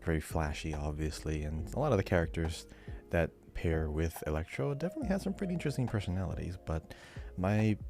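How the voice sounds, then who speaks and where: A man's voice: low voice, animated, a little fast, music in the background. A man talking casually as co-host of a podcast. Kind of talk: casual chat.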